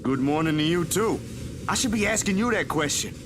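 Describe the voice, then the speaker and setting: A man's voice: fairly high pitch, expressive, fairly quick, over music, natural voice. An actor playing a sardonic young man talking casually in a visual novel. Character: young man named Zach, sarcastic adventurous photographer on phone.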